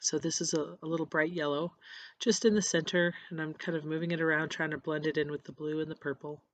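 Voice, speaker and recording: A painter with a cold, hoarse and scratchy, casually narrating a voiceover of their painting process with halting restarts. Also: medium pitch, steady tone. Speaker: encaustic painter. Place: voiceover recorded apart from the footage.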